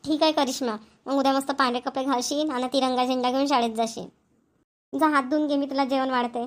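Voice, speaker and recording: A woman's voice: high voice, steady tone, quick pace, natural voice. A woman playing a chatty, agreeable village wife and mother in a cartoon, with a rural Maharashtrian accent. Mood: caring, chatty. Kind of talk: cartoon family dialogue.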